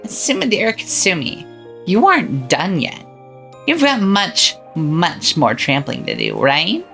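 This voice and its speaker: A woman's voice: fairly low pitch, huge pitch swings, unhurried, gravelly, a bit bright, exaggerated voice. A woman voicing a cruel, cackling witch who mocks and taunts, with faint music behind. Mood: menacing. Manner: mocking, taunting, frequent cackling laughter. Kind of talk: visual novel voice acting.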